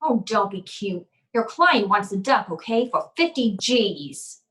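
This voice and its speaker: A woman's voice: medium pitch, sing-song, a little slow, a bit bright. A woman playing a tough, wisecracking, unflappable private detective in an old-time radio drama, with clipped, slangy delivery. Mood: cool, sardonic.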